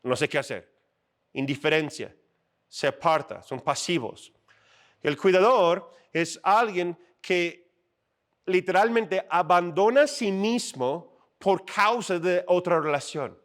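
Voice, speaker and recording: A middle-aged male pastor preaches on a church stage, his voice sitting a little high for a man. He talks in a loose, conversational way, acting out little everyday scenes and often checking in with the congregation. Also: slow pace. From outside Mexico. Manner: conversational, animated, acts out little scenes and asks "¿no?". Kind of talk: sermon.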